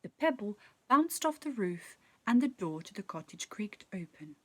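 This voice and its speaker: A woman narrating a children's story in an expressive, measured, dramatic storytelling style. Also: mid-range voice, dry recording. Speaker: actor reading a story.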